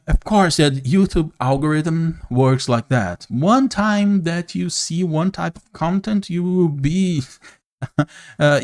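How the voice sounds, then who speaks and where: A man from Brazil with a Brazilian Portuguese accent, a non-native English speaker, hosting an unscripted monologue straight to camera, earnest and slow with frequent pauses. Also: medium pitch. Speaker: YouTube channel host. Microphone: camera mic, speaking to camera.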